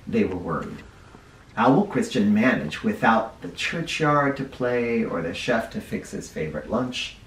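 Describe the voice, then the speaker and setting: A man's voice: on the low side, measured pace, a little hiss or hum. A man who teaches first grade, reading a children's picture book aloud and stopping now and then to explain words to young listeners. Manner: expressive, stops to explain words to kids. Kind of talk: children's read-aloud with asides. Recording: roomy echo, mic at a distance.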